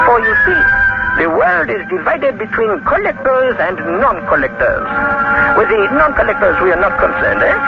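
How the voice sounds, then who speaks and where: High, creaky, sinister killer. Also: male voice, full character voice. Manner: halting, fussily polite, savoring words. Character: small, menacing French murderer, genteel, sinister, mockingly polite. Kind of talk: 1940s radio horror acting. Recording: dull old radio recording, loud music.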